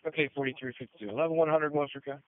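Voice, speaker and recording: A man's voice: fairly high pitch, rapid-fire. A man working as a fire dispatcher, giving clipped, procedural readbacks over two-way radio. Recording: tinny narrowband radio transmission.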